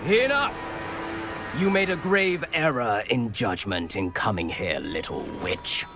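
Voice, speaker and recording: A man's voice: medium pitch, lively intonation, unhurried. A man voicing a hostile, domineering centaur herd leader in a video game, with contemptuous, threatening delivery. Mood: scornful anger. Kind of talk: dramatic video game voice acting. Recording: loud music behind the voice. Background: music.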